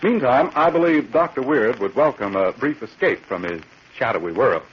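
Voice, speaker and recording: Male 1940s radio announcer, husky, animated intonation, polished sales patter, muffled sound. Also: medium pitch, moderate pace. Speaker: announcer reading the sponsor's advertisement.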